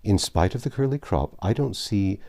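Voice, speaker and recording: A man voicing a proud, tender middle-aged father in a storybook reading, speaking in a measured, fond, fatherly way. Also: on the low side, lively intonation, creaky, dark tone, voice pushed up. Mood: tender, approving.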